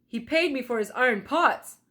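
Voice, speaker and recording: Woman as a gruff burly blacksmith, loud and emphatic. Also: fairly high pitch, lively intonation, normal speed, voice pushed up. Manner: gruff, blunt. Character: gruff, burly male blacksmith in a market town.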